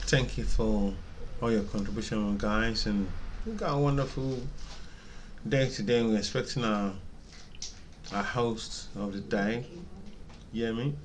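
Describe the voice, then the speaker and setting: A man's voice: on the low side, measured pace, pauses often. Agreeable man, faint background noise. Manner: chimes in with agreement and echoes. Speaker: vlog co-host. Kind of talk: casual chat.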